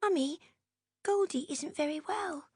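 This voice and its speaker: Woman voicing a high-pitched little girl. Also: measured pace, no room sound, studio quality. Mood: worried. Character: little girl, cheeky then tearfully questioning, daughter.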